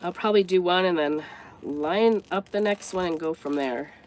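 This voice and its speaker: A grandmotherly woman with a congested, stuffed-up voice talks her way through a craft project. Her voice sits a little low, and she rambles and corrects herself as she works. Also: normal speed, darkish tone, a little hiss or hum, rough recording. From United States. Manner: chatty, rambling, thinks aloud while working. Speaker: craft vlogger. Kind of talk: casual craft tutorial chat.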